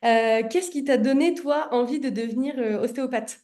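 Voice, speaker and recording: A woman's voice: fairly high pitch, moderate pace, dark tone. A woman hosting a podcast, asking questions in a friendly, casual way with enthusiastic reactions. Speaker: podcast host.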